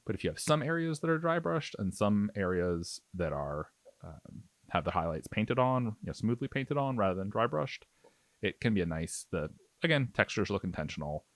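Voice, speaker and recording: A man's voice: on the low side, big pitch swings, normal speed, no room sound. A man narrating a hands-on hobby tutorial in a casual, explanatory, easygoing way. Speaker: miniature painting tutorial host. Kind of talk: casual instructional narration over demonstration.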